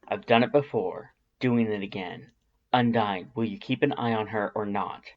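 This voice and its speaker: A man voicing a protective skeleton monster with a coldly calm, casual menace in a story reading. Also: lowish voice, moderate pace, lo-fi sound, lightly acted. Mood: angry.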